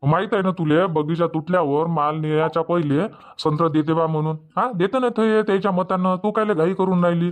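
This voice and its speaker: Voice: medium pitch, fairly even intonation, brisk, natural voice. A performer voicing an argumentative male farmer in a comic cartoon, with a rustic Varhadi Marathi accent and grumbling manner. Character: easygoing but argumentative male village farmer husband. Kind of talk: comic cartoon dialogue dubbing.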